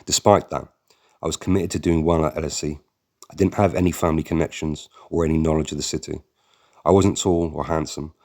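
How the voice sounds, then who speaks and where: A man reading a first-person memoir aloud as an audiobook narrator, in a steady, measured way. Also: extremely low pitch.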